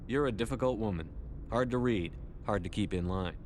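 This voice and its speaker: An actor playing a steady, reasoning military commander in a video game, speaking in a measured, matter-of-fact way. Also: male voice, natural voice. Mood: calm. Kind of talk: video game dialogue acting. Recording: faint rumbling background noise.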